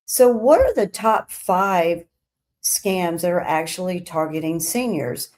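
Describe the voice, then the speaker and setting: A woman hosting a scripted explainer, speaking in deliberate, emphatic phrases with pauses. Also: fairly low pitch, lively intonation, darkish tone. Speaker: host of a crime statistics show.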